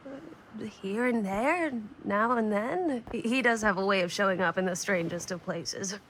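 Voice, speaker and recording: A woman's voice: mid-range voice, unhurried, fairly bright tone, slight background noise, close to an everyday voice. A woman playing an eerie, evasive and politely amused character in a video game cutscene, speaking in hesitant riddles. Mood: cryptic, amused.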